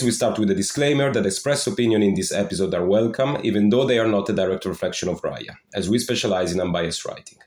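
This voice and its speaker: Male podcast host, lowish voice, polite scripted delivery, roomy echo. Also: darkish tone. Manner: reads a formal welcome, then asks questions politely. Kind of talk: scripted podcast introduction and interview.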